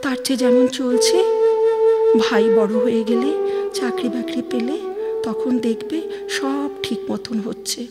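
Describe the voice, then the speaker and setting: A woman voicing a gentle, dutiful grown daughter with a soft, coaxing manner in an audio drama. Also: sits high, very animated, a little slow, long pauses. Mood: soothing. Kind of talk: audio drama recitation of a phone dialogue. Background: music.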